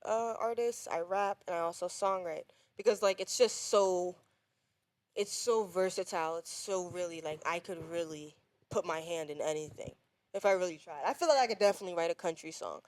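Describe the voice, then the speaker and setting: A young woman, a singer and songwriter, answering interview questions on a podcast in a casual, halting way, often restarting her sentences. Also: fairly low pitch, a little mellow, no room sound. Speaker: guest musician.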